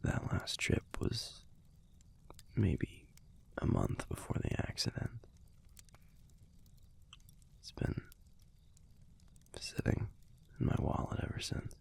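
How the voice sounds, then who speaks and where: A man playing a tender, wistful werewolf boyfriend in an intimate audio roleplay, speaking in a hushed, halting, husky and breathy voice with a gravelly edge. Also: in a whisper, lightly acted. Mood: wistful, subdued. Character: tender, wistful young male werewolf pack leader boyfriend.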